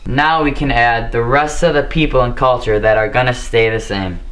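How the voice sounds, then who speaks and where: A teenage boy hosting a mock cooking show in a home kitchen, reciting his lines in an earnest, deadpan way. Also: mid-range voice, moderate pace. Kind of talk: scripted mock cooking demonstration. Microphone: camera mic, a few steps away.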